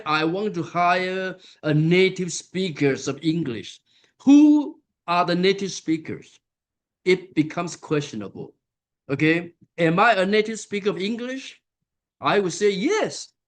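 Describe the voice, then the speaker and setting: A man giving a conference lecture, unhurried and full of fillers and pauses. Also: sits high. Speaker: conference keynote speaker. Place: conference hall.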